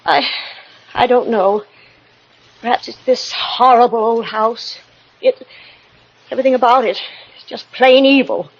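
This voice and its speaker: A worried, frightened wife in old-time radio style. Her voice is fairly high and a little breathy, hesitant and pleading. The vintage recording sounds dull and muffled. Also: fairly even intonation, unhurried, pauses often.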